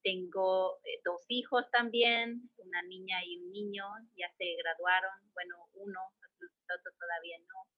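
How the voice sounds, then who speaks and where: A woman's voice: medium pitch, fairly even intonation, moderate pace, few pauses, muffled recording. A middle-aged woman from the State of Mexico, a guest answering questions hesitantly, with many fillers and restarts. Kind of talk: conversational interview answers.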